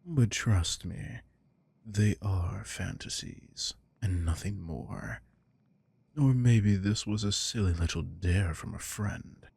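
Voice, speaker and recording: Man doing a deep, slow vampire lord, breathy gravel, mocking and predatory, close-whispered audio roleplay. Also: a little mellow, dry recording, exaggerated voice.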